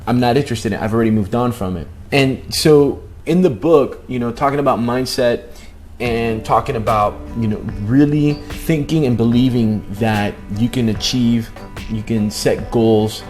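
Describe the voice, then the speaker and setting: A man's voice: medium pitch, moderate pace, darkish tone, soft background music. A middle-aged man hosting a casual vlog chat, emphatic and often restating his points. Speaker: host of a couple's book-review vlog.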